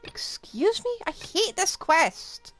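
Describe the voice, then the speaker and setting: A woman giving casual, expressive live commentary as she plays a game, in a playfully exasperated way. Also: very high voice, slow. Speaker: game livestreamer. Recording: music playing behind voice. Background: game music.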